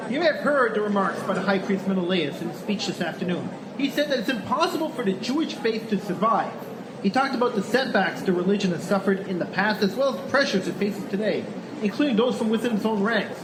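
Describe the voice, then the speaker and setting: An echoey, noisy crowd surrounds a probing reporter played by a man. His voice is high, and he questions formally in a radio drama. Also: animated, fast talker. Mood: pressing. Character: probing male news reporter questioning a rebel leader. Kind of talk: radio news reenactment.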